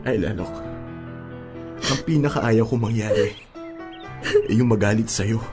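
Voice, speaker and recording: A man's voice: lowish voice, a little slow. An actor playing an exasperated, anguished young man with a Filipino accent in an earnest radio drama style, mixing in English. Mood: pained warning. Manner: earnest, emphatic, switches between Tagalog and English. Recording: music bed under the dialogue. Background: music.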